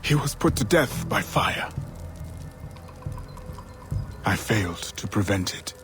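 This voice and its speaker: A man voicing a solemn older mentor of a secret order in a video game, speaking in measured, formal phrases with a husky, raspy voice. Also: on the low side, expressive, fairly bright tone, faint music behind. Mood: grave, regretful. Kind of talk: video game character acting.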